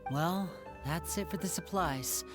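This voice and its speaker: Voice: medium pitch, a little slow, over music, lightly acted. An actor voicing a friendly, charming young stage magician in a game.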